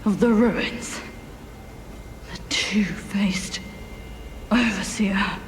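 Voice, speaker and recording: A woman playing a proud, tormented warrior whose mind is unraveling, delivered theatrically for a video game. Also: a little high. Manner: slow, theatrical, anguished delivery. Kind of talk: dramatic video game acting. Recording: long cavernous reverb, faint background noise.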